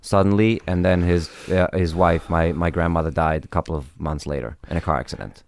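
A man with a New York accent shaded by Yiddish and a little Israeli, who grew up speaking Yiddish, talking casually as a guest in halting, restart-filled phrases that trail off quietly. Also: sits low, creaky, no room sound, studio quality. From Brooklyn, New York. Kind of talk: casual conversation.

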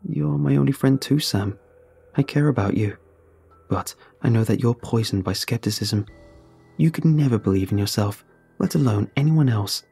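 A man voicing an intense, earnest male friend in a horror story, speaking in a deliberate, insistent way. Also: medium pitch, gravelly, warm tone, breathy edge, faint music behind. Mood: earnest, reassuring. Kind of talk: dramatic horror story dialogue.

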